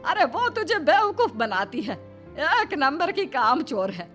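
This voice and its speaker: Woman as older mother-in-law, shrewd, exasperated, scolding, high-pitched, swooping, family story. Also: very animated, measured pace, a bit bright, soft background music, heavily acted.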